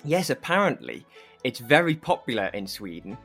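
A man's voice: mid-range voice, sing-song, moderate pace, gravelly, a bit bright, slightly rough, soft background music. A man with a Newcastle accent hosting a podcast, chatty and full of enthusiastic praise. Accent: Newcastle English. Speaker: podcast host and interviewer. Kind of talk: casual podcast chat.

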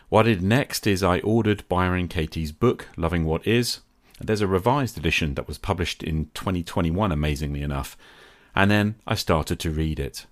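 Deep-voiced man hosting a reflective podcast. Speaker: podcast host. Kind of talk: reflective scripted monologue.

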